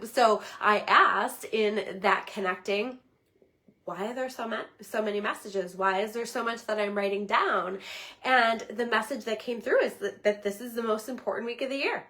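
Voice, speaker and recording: A slightly hoarse middle-aged woman, giving a weekly psychic reading in an earnest, rambling livestream talk. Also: mid-range voice, a bit bright. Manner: earnest, rambling, with many restarts and "right?". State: slightly hoarse, losing her voice.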